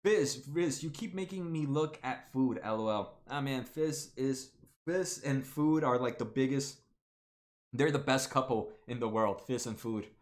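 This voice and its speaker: A man's voice: mid-range voice, measured pace. A man in his late twenties hosting a casual stock market livestream, talking to viewers by name with lots of fillers and restarts. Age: 20s. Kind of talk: casual livestream chat reading viewer comments.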